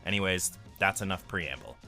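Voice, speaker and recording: A man with a low, forceful voice hosts a story podcast. He reads a mock-solemn scripted introduction over faint music. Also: moderate pace. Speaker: podcast host.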